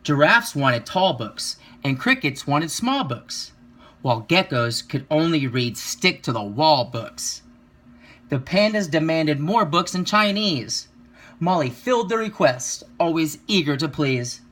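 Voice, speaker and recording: A man reads a children's story aloud in a fairly high, bright and forward voice. He follows the rhythm of the verse expressively, leaning on key words and stretching them out. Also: big pitch swings, measured pace. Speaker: reader of a children's picture book.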